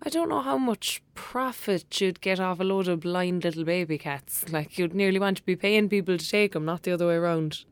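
A woman's voice: lowish voice, fairly quick, few pauses, clean tone, dry recording, lightly acted. Woman acting as cheeky irish girl best friend in a radio play, wry teasing, dry studio sound. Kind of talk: audio drama acting.

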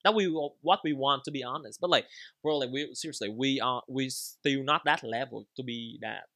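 Young guy, bright tight voice, dry close mic, stumbles over his words, says bro a lot. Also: mid-range voice, normal speed, no room sound. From Vietnam. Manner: excitable, stammering restarts, swearing, lots of "bro". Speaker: guest comedian. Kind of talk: casual podcast chat.

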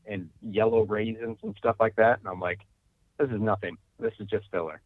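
A man with a lowish voice chats in a relaxed, storytelling way, often quoting what he was thinking. He comes through a thin, phone-like line, and the sound is dry. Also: moderate pace, dry recording. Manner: laid-back storytelling, quotes his own thoughts. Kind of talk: casual chat.

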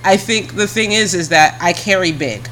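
A woman co-hosting a casual podcast chat, talking bluntly and frankly in a deep, heavy voice she keeps a little higher than her natural one. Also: moderate pace, a bit bright, a little hiss or hum, poor audio. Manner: blunt, frank, swears, laughs often. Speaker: podcast co-host.

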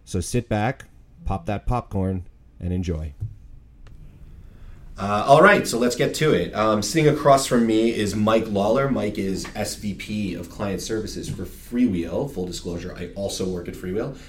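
A man's voice: fairly low pitch, moderate pace, roomy sound. A man hosting a podcast, opening the episode with wry jokes and then interviewing a guest. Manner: upbeat, wry, many fillers. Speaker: podcast host. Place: large conference room with windows.

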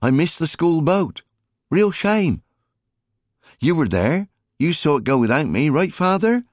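A carefree, cheeky young island boy, acted out by a male narrator in an audiobook. His pitch is lifted and swings about playfully. He talks in a breezy, informal way. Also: very animated, a little slow, dry recording, clean studio sound.

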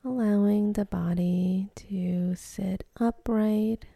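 A woman leading a guided meditation in a soft, breathy, half-whispered voice, calm and gentle, with long pauses between phrases. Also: medium pitch, no echo, studio quality.

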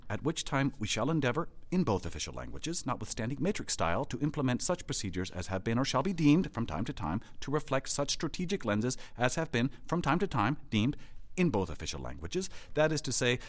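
A man's voice: medium pitch, natural voice. An actor playing a pompous, playful male office boss in a satirical audio drama, speaking in grandiloquent phrases. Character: flamboyant, theatrical male boss of a government office.

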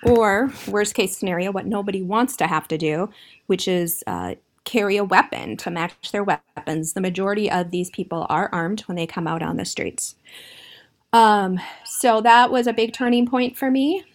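A woman speaking as an activist guest, steady and thoughtful with occasional fillers. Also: mid-range voice, normal speed. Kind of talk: casual spoken perspective.

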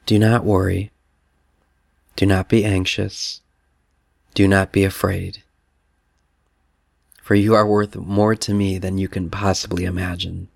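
A man voicing God the Father as a tender, loving male spirit, repeating gentle affirmations. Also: deep voice, flat delivery, measured pace, creaky, pauses often, no room sound, clean studio sound.

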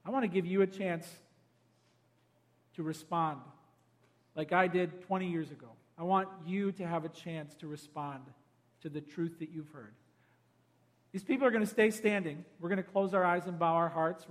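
Pastor guy with a high voice doing an altar call, pleading, gets loud then goes real quiet. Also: moderate pace. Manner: urgent, pleading, swells loud then drops to a hush. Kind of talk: evangelistic altar call sermon.

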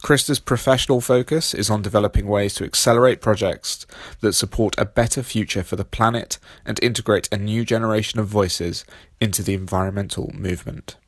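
Husky sick man, podcast host, reading intro, low pitch, dry. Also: no echo. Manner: steady, reads details slowly and spells them out. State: husky from illness.

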